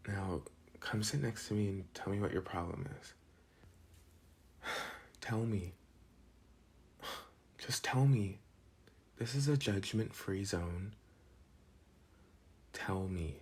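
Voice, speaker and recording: Low husky man acting as a protective teenage delinquent. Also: slow pace, long pauses, exaggerated voice. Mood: teasing, coaxing. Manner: casual slangy teasing with soft sighs. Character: protective teenage school delinquent, gruff but soft-hearted, teasing. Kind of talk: intimate ASMR boyfriend roleplay.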